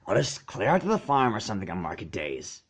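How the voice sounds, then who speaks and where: A man voicing an earnest, gentle young male dragon who speaks in a careful, restrained, pleading way. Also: fairly low pitch, very animated, brisk, voice pushed down. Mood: resigned.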